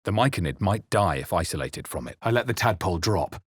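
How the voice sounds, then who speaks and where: Husky, low-voiced brooding fantasy hero. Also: male voice, expressive, fairly quick, no room sound, clean studio sound. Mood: grave, intent. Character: brooding, battle-hardened male adventurer hero of a fantasy game. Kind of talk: dramatic fantasy game voice lines and first-person narration.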